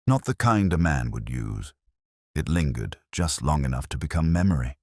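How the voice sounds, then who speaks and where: A man playing a weary, pensive detective inspector who narrates his case in a measured, literary, brooding way. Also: a bass voice, big pitch swings, no echo, clean studio sound, exaggerated voice. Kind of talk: scripted first-person mystery narration.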